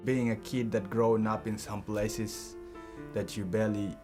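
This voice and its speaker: Young male student, East African accent, deeper register, interview testimony over guitar. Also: little pitch movement, measured pace. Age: young adult. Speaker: refugee student.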